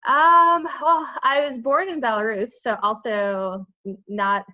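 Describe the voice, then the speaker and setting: A woman's voice: fairly high pitch, fairly even intonation, a little slow, bright, forceful tone. A woman from New introduces herself over a video call, stopping and restarting and poking fun at herself. The audio sounds a little dull. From New York. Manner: hesitant, self-deprecating. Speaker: club member. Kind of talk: casual self-introduction.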